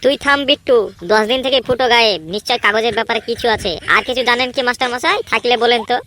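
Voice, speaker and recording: A scheming cartoon village schoolboy, voiced by a woman. The voice is high and sharp and rattles along fast. It is cocky and bossy. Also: steady tone. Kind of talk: comedy cartoon dubbing.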